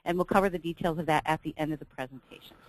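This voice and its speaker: A woman's voice: low voice, low-quality recording. Upbeat woman hosting a travel webinar. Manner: reads from slides, brisk and upbeat with filler ums. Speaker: webinar host for a travel company. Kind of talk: scripted sales presentation.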